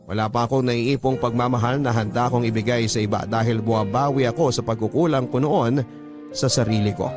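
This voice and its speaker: A male radio drama host with a mid-range voice narrates a listener's letter. His delivery is scripted, dramatic storytelling, set over clearly audible music. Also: mid-range voice, fairly even intonation, fairly quick. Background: background music.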